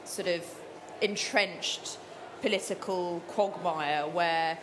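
A woman's voice: lowish voice, fairly even intonation, slow, background noise. A woman author answering interview questions thoughtfully, with many fillers and restarts. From Cyprus. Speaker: author guest.

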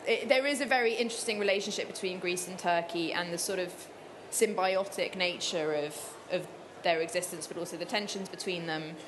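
Thoughtful woman author, noisy room. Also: on the low side. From Cyprus. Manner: thoughtful, with many fillers and restarts. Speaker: author guest. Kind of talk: reflective interview answers.

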